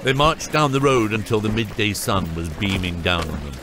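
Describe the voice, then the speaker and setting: A man's voice: lowish voice, animated, moderate pace, over music. A man narrating a scripted fantasy audiobook.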